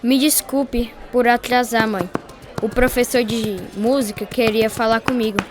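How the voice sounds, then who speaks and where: A woman's voice: a little high, fairly even intonation, a little slow, music in the background, close to an everyday voice. A woman playing an earnest boy, a dutiful son, in a radio drama. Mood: reassuring.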